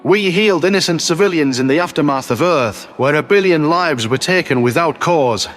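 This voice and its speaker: A man voicing a calm, principled alien prime minister giving a measured formal speech in a sci-fi audio story. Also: on the high side, soft background music, voice pushed down, lightly acted. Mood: dignified, sincere.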